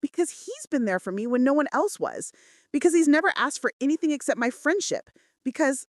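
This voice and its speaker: A woman's voice: high-pitched, big pitch swings, brisk, no room sound, studio quality, voice pushed up. A woman playing a defiant, loyal female assistant in emphatic, outspoken romance audiobook dialogue. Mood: indignant.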